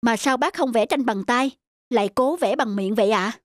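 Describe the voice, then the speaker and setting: A woman voicing Kiên, a kindly middle-aged male painter, speaking gently and encouragingly. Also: fairly high pitch, normal speed, no room sound, studio quality, lightly acted.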